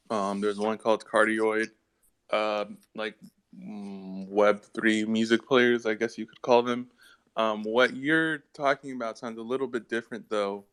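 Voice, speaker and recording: A man chatting casually and slangily, pausing often as he searches for words. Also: lowish voice, a bit bright, clean tone. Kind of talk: casual chat.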